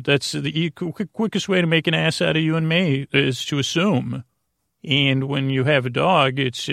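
A man hosting a sleep podcast, delivering a rambling bedtime monologue in a halting, self-interrupting way that drifts into tangents. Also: medium pitch, creaky, fairly bright tone, dry recording, clean studio sound. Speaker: podcast host.

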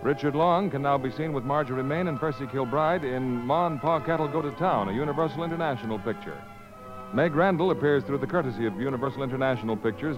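Male announcer over music. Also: on the high side. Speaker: network announcer. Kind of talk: 1950s scripted radio announcement. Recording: muffled old radio broadcast, music behind.

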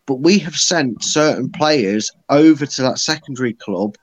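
A man's voice: mid-range voice, moderate pace, dry recording, rough recording. A man explaining his view confidently and chattily on a football fan podcast. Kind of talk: casual chat.

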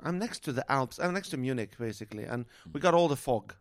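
A man's voice: mid-range voice, animated, fast. A man in his forties from Lebanon, a comedian chatting and joking as a podcast guest in a motel room. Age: 40s. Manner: chatty, jokey storyteller. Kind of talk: casual podcast chat.

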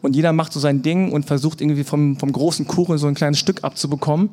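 A man's voice: on the high side, fast talker. A man from Germany, a podcast guest, talking casually on a live panel and acting out the voices of people he quotes. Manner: relaxed, acts out quoted voices of others. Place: live event at an embassy.

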